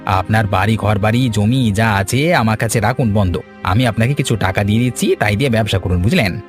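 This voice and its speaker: A man's voice: fairly low pitch, a little fast, natural voice. A man voicing a greedy, sly village trader in a cartoon fairy tale, with a glib, persuasive, scheming way of talking. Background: background music.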